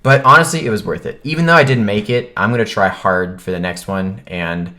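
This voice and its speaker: A man with a low-pitched voice tells a personal story in a casual vlog style. He speaks informally, as if to viewers, and retells what people said in an animated way. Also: fairly quick. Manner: animated retelling, acts out quoted remarks. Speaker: vlogger telling a personal story.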